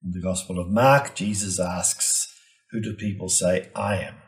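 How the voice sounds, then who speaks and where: A male priest giving an expository reflection on scripture in a measured, teaching way, stressing key names and phrases. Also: low voice, fairly even intonation.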